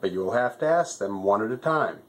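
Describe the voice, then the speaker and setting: A man's voice: on the low side, lively intonation, a little fast, a bit bright. A man voicing a busy, boastful male stork postmaster who rambles fussily in a storybook reading. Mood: self-important, chatty. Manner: fussy, rambling, reciting rhyming lists.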